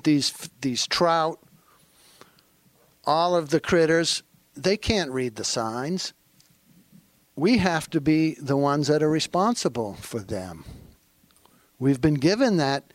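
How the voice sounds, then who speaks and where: Maine-accented man, panel speaker, unhurried and earnest, slightly high voice, dry sound. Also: no echo. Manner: slow, earnest and reflective. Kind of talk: panel remarks.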